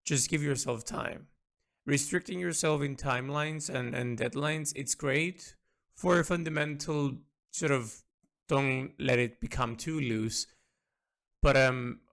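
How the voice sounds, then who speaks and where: Creaky-voiced man, thinking aloud. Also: mid-range voice, fairly bright tone. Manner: halting, repeats words as he thinks aloud; earnest, introspective. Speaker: artist recording an audio log. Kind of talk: reflective unscripted monologue.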